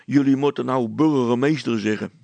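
A man's voice: mid-range voice, normal speed, gravelly, a little mellow, no echo, muffled recording, lightly acted. A man voicing a simple, bumbling village policeman in a storybook, blunt and muddled. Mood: matter-of-fact. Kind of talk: dramatized storybook reading.

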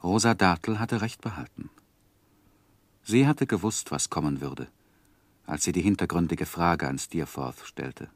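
An actor playing a reflective, grieving gentleman who narrates his life story in a measured, literary radio play style. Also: sits low, no room sound. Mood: solemn grief. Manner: measured, solemn, sorrowful.

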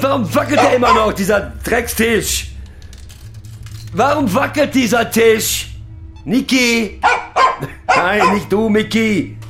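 An actor playing a foul-mouthed, boozy German pub regular who rants crudely and haltingly, sentimental underneath, in a radio drama. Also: male voice, very high-pitched, a bit bright, heavily acted. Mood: furious, crude. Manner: crude ranting, halting and rambling, swearing. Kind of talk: theatrical radio drama monologue.

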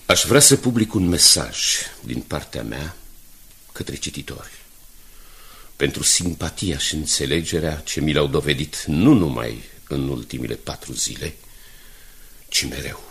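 An ailing, dignified newspaper owner in a radio play. His voice is extremely low and very husky, heavy with creak. He speaks gravely and haltingly, like someone worn down by grief. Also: lively intonation, a bit bright, heavily acted. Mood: solemn, moved. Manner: slow, halting, solemn delivery. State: weakened, weary. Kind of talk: 1980s Romanian radio drama acting.

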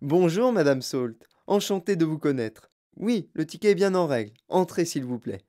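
A storyteller voicing a sprightly, mischievous old chocolatier with a clear, fluty voice, excitable and effusively polite, in an audiobook. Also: mid-range voice, normal speed, natural voice. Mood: effusive delight. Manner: excitable, repeats himself in courteous bursts.

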